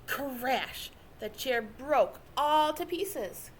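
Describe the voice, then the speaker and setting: Animated, high-voiced woman storyteller. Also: extremely slow, a little hiss or hum. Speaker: storybook reader. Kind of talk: expressive children's story narration.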